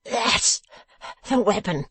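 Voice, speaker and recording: Woman acting a whining, high-strained, battered young man. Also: sing-song, breathy edge, dry recording, full character voice. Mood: aggrieved, miserable. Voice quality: wailing, groaning, strained.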